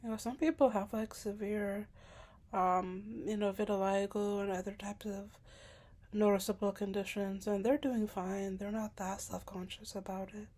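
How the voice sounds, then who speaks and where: A hesitant young woman speaks to camera in a casual, personal monologue. Her speech is self-conscious and full of filler words. She keeps her voice soft, sometimes sinking to a whisper. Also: medium pitch, steady tone, normal speed. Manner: soft-spoken, at times dropping to a whisper. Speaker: vlogger.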